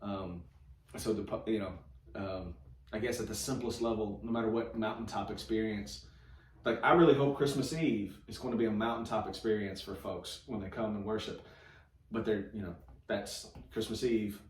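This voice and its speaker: A man's voice: on the low side, steady tone, normal speed. A man hosting a casual church Bible discussion, informal and folksy, rephrasing as he goes. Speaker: host of a church read-along show. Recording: echoey room, distant mic, faint noise.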